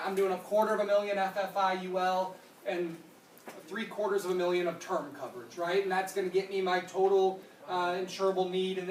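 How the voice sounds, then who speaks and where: Trainer in their thirties, distant, echoey. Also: mid-range voice. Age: 30s. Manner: explanatory, answers questions with quick "nope" and "yep". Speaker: insurance product trainer. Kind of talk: training presentation with Q&A. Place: conference room. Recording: roomy, distant mic with faint noise.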